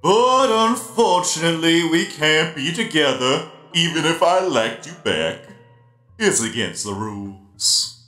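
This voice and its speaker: A genial, theatrical robot spider DJ acted by a man for a cartoon comic dub, playful and teasing. The delivery is unhurried and very animated, in a roomy echo over music. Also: a little high, full character voice. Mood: sincere. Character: friendly, playful, easily amused giant robot spider DJ. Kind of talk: fan comic dub character acting.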